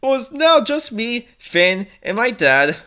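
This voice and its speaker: An edgy teenage cartoon cat princess acted out by a woman reading fanfiction, self-important and overdramatic. The voice is high and swings up and down with mock-serious drama. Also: very animated, slow, a bit bright, smooth, heavily acted. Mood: self-important, dramatic. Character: melodramatic, edgy fourteen-year-old cartoon cat princess and dictator-to-be.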